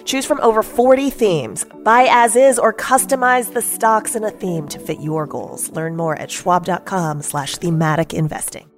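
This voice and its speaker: A woman's voice: on the low side, normal speed, hardly any pauses, soft background music. A woman reading a scripted advertisement voice-over.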